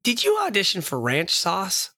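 Man, croaky hoarse voice, gravelly, bright tone, dry close sound. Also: high voice, wildly swooping pitch, normal speed. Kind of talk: improvised comedy banter.

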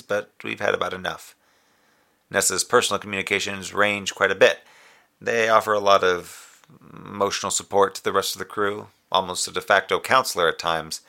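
An actor playing a middle-aged, conscientious moonbase communications officer in a sci-fi audio drama, with a gravelly, wry, weary delivery. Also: male voice, sits low, sharp tone, full character voice. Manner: formal reporting with dry sarcasm and tenderness. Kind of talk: audio drama monologue as a radio report.

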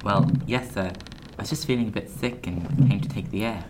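An actor playing an earnest, curious young sailor in a period radio drama, polite and hesitant. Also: male voice, fairly low pitch, a little fast, heavy background noise, lightly acted.

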